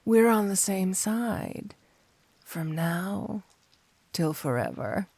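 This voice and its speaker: Slow, creaky, flirty supervillainess, acted by a woman. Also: medium pitch, big pitch swings, no echo, exaggerated voice. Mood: flirty, tender.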